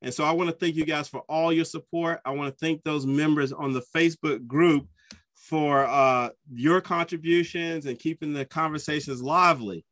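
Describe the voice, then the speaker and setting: A man hosting a soccer podcast, chatty and enthusiastic, often jumping up in pitch when he gets excited. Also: normal speed, no room sound. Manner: enthusiastic, chatty, with sudden upward pitch jumps. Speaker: podcast host. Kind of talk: casual podcast interview.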